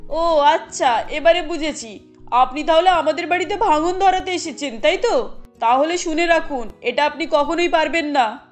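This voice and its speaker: A woman's voice: high voice, normal speed, soft background music, close to an everyday voice. A woman voicing a loyal, outspoken young daughter-in-law in a family cartoon, polite but firm. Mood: firm, defiant. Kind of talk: cartoon voice acting.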